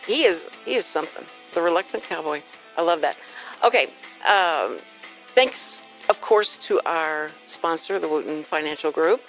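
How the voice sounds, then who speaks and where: A woman's voice: low voice, moderate pace, faint music behind, low-quality recording. A woman hosting a casual radio interview, chatty and effusive with exclaiming reactions. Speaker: radio show host. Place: radio studio.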